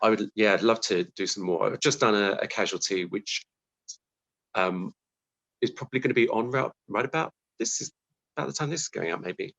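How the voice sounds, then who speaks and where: A man's voice: fairly low pitch, a little fast, vocal fry, fairly bright tone, low-quality recording. A man giving a casual interview as a guest, thoughtful and hesitant, often restarting his sentences. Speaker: interview guest, television scriptwriter.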